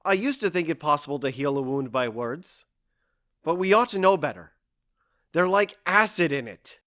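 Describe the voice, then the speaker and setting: A man's voice: a little high, lively intonation, normal speed, fairly bright tone. A man playing a stoic, embittered husband in an audio drama, weary and resolute, with grave, formal speech. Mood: scornful.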